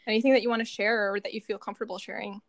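A young woman speaks at a medium pitch in a dry, close recording. She co-hosts a podcast, talking in a casual and reflective way. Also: flat delivery, racing, no echo. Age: young adult. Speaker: podcast co-host, doctoral student in psychology.

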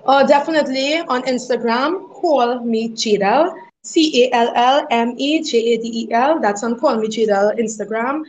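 High-voiced Trinidadian woman, chatty. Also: moderate pace, a little mellow, a little hiss or hum, poor audio. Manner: chatty, laughs often, many fillers like "like" and "you know". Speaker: guest. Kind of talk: casual interview chat.

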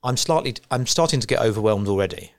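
A man's voice: medium pitch, animated, quick pace. A man interviewing in an informal, conversational way, often ending his points with tag questions. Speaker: interviewer, church leader.

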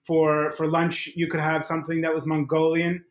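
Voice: mid-range voice, completely flat delivery, normal speed, echoey room. A podcast host asking long, meandering questions in a casual interview.

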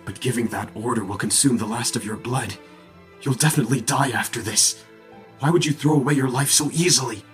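A proud, scornful male vampire villain acted by a man, mid-pitched and theatrical, boastful with a cowardly streak. Music plays softly behind this audio roleplay voice. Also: a little fast, natural voice. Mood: incredulous. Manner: dramatic, scornful. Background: background music.

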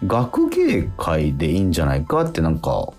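Very low, husky man hosting radio. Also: wildly swooping pitch, a little mellow, faint music behind. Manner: halting, self-interrupting, repeats affirmations. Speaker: radio show host and stage actor. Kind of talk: casual radio chat with announcements.

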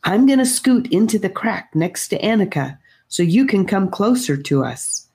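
A woman's voice: medium pitch, very animated, normal speed, mellow, voice pushed up. A woman reading aloud voices an eager, protective young boy who speaks earnestly. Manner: helpful, earnest.

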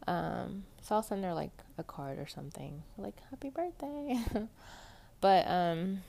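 A young woman talks in a soft, pleasant voice, rambling casually through her week with plenty of fillers. Her tone sits low and carries a gravelly fry. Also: expressive, a little slow. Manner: chatty, rambling, many fillers. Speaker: podcast host. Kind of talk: casual personal monologue.

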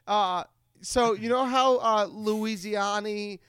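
A man's voice: sits high, slow, a bit bright, clean tone, no echo, clean studio sound. A man hosting a casual, comedic podcast chat, stammering and repeating his phrases as he goes. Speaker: podcast host.

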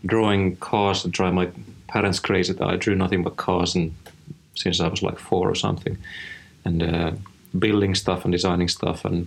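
A man's voice: low voice, creaky. A man in his forties with a Finnish accent, speaking as an interview guest in a rambling, casual way with many restarts. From Finland. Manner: rambling, frequent restarts and fillers. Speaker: interview guest, design executive.

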